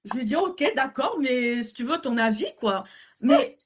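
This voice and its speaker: A woman's voice: sits high, animated, unhurried, slight background noise. A woman co-hosting a candid conversation, talking with emphatic repetitions and frequent self-corrections. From grew up in France, born abroad. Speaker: podcast co-host.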